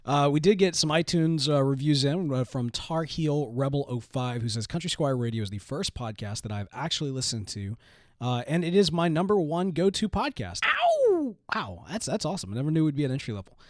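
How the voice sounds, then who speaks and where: A man co-hosting a podcast, talking in an animated, casual way with lots of fillers and restarts. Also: a little high, fairly quick, dry recording, studio quality. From Southern US. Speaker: podcast co-host. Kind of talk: casual chat.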